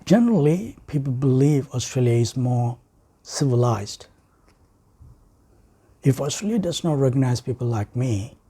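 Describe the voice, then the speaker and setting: A man with a Sri Lankan accent delivering a prepared speech in a formal, deliberate way, with emphatic phrasing and pauses. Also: mid-range voice, mellow, breathy edge. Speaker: writer and speaker.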